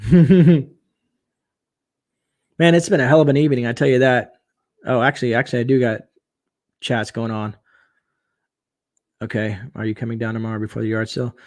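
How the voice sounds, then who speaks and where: A man hosting a casual late-night livestream, chatting and reading viewer comments aloud, his voice getting hoarse. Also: medium pitch, steady tone, normal speed, long pauses, darkish tone. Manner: chatty, reads viewer comments aloud, frequent fillers and laughs. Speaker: livestream host.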